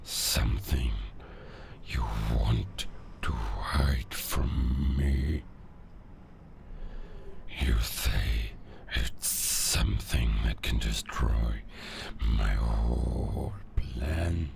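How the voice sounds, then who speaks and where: Werewolf villain: bottomless gravel growl, drawn-out predatory delivery, cold and taunting, audio drama. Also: male voice, extremely low pitch, darkish tone, slight background noise, exaggerated voice. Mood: taunting menace. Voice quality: gravelly, growling, breathy at times. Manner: slow, deliberate, taunting menace. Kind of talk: cinematic audio roleplay acting.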